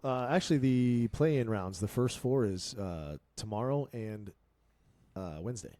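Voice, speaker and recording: A man in his forties co-hosting a casual podcast, relaxed, often chiming in with short agreeing replies. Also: lowish voice, unhurried, a little hiss or hum. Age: 40s. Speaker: podcast co-host. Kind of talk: casual chat.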